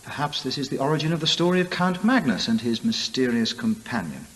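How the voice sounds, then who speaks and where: An actor playing a curious, bookish English gentleman antiquarian in a radio drama, musing hesitantly in old-fashioned literary language. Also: mid-range voice, animated, a little fast, in a large hall, slight background noise, close to an everyday voice. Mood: fascinated musing.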